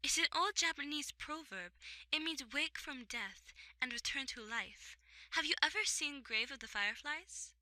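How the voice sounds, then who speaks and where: A woman playing a calm, earnest military adviser in a radio drama. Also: high voice, normal speed, no room sound, full character voice, poor audio.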